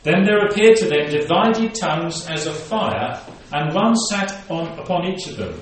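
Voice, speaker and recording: A man's voice: on the high side, lively intonation, normal speed, a little hiss or hum. A man preaching a sermon, reading scripture aloud and then explaining it to his congregation. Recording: very echoey room, voice from a distance.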